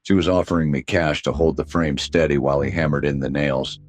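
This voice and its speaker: An actor playing a weary, cynical private detective in a noir radio drama, talking in a wry deadpan. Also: male voice, a bass voice, a little fast. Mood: brooding, wry.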